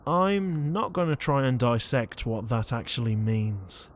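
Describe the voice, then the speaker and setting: A man's voice: mid-range voice, expressive, unhurried, a little hiss or hum, lightly acted. A man playing a weary, sarcastic young ghost who leads a haunting trio in an audio roleplay. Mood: exasperated, dry. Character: exasperated young ghost leader, reluctant protector, named Liam.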